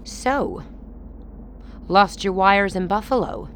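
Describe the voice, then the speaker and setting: A woman's voice: medium pitch, takes it slowly, fairly bright tone, a little hiss or hum. A woman playing a powerful political leader in a radio play, wryly amused, probing and self-assured. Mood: probing, cool.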